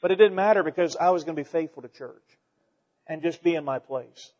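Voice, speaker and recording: A man's voice: a little high, fairly quick, a little mellow. A man in his forties preaching a fervent sermon to his congregation, full of rhetorical questions and folksy asides. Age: 40s. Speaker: pastor. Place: church sanctuary. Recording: dull sound in a reverberant church hall.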